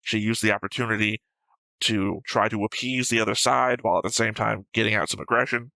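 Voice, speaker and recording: A man's voice: lowish voice, steady tone, brisk, gravelly, pushed and bright, no echo, rough recording. A man co-hosting a reaction show, talking through his opinions in casual, argumentative discussion. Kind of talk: casual discussion and episode commentary.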